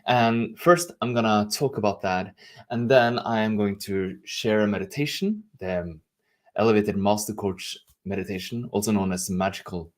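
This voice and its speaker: A man's voice: fairly low pitch, normal speed. A man with a Norwegian accent, a native Norwegian speaker, talking casually to a livestream audience as a coach in an effusive, affectionate way. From Norway. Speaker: life and leadership coach hosting a live stream. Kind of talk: casual livestream talk to camera.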